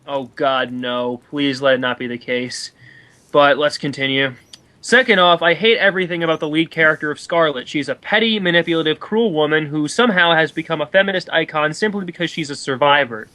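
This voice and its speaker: Male commentary co-host, bright, slightly high voice, exasperated and sarcastic. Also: a little fast. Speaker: co-host of a film commentary show. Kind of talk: sarcastic reading and casual commentary on an article.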